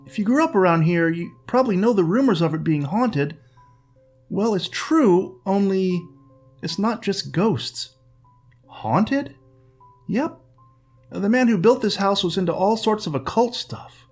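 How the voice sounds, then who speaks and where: No nonsense housekeeper lady, man doing her, firm and brisk. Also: high-pitched, expressive, darkish tone, faint music behind, pitched above the performer's own voice, exaggerated voice. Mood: matter-of-fact. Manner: firm, matter-of-fact. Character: brisk capable woman housekeeper.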